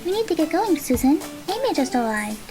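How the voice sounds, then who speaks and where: A woman's voice: sits high, big pitch swings, fairly quick, music in the background. A woman playing a sweet, friendly teenage girl in an audio drama. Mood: hurried.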